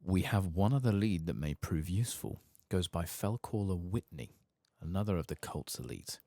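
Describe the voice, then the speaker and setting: A man softly reading out the lines of a guarded, calculating fantasy spymaster in a game. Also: low-pitched, lively intonation, normal speed, no echo. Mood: grim, businesslike. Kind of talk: soft-spoken reading of game quest text.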